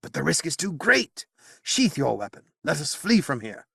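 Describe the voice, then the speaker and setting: Man voicing an old wizard, raised pitch, urgent, fearful, dry close sound. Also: very animated, moderate pace, gravelly, fairly bright tone, slightly rough, no echo, exaggerated voice. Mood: grave. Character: agitated old male wizard.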